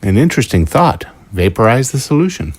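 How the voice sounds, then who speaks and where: An actor playing a dry, guarded scientist in an audio drama, a man with a deliberate, formal way of talking. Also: medium pitch, big pitch swings. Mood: dry, considering.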